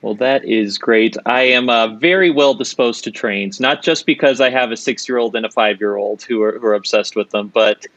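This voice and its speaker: A man's voice: mid-range voice, normal speed, few pauses, a bit bright, no echo, dull audio. A man hosting an interview in a conversational, thoughtful and discursive way. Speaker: interview host.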